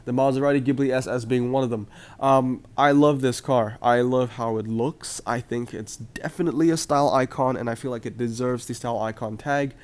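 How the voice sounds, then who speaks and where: Casting: young male gaming host, mid-pitched, chatty and upbeat, voice a bit off from a cold. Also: fairly even intonation, fairly quick, darkish tone. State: voice slightly off from a cold. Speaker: mobile game video host. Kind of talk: casual gaming commentary to viewers.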